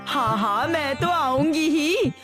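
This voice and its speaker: A woman's voice: sits high, lively intonation, slow. A woman playing a friendly, appreciative customer at a food stall in a cartoon story. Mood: pleased. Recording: loud music behind the voice.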